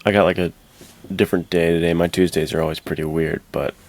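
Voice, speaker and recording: Low-pitched man with a creaky voice. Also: fairly even intonation, fast, darkish tone. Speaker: podcast co-host. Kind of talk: casual chat.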